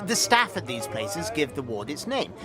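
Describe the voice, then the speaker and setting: Man playing a fussy, high-pitched, nervous bookish clerk. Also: sing-song, normal speed. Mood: hesitant, polite. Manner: stammering, fussy, formal and apologetic. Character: nervous, bookish male clerk and records researcher. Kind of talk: acted audio drama dialogue. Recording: music under the voice.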